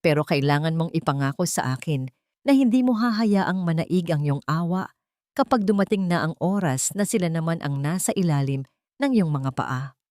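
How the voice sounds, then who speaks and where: A woman's voice: deep voice, animated, normal speed, a little mellow, dry recording, studio quality. A woman voicing a stern, vengeful male mentor in a radio-style drama, grave and commanding.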